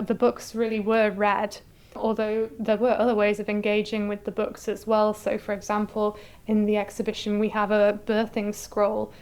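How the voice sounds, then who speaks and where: A woman's voice: mid-range voice, darkish tone, clear, resonant tone. A woman giving articulate, informative interview answers as an exhibition curator. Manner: articulate, explanatory.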